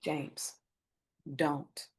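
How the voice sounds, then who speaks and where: A woman playing a guarded, burdened wife and determined community organizer in a screenplay reading. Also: drawn-out words. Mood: stressed, defensive. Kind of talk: live staged screenplay reading.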